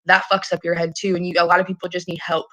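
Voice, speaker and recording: Woman on a phone chat, kinda low but sharp voice, rambles and repeats herself, swears a bit. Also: completely flat delivery, rapid-fire. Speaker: caller in a private phone call. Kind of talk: casual, earnest phone conversation.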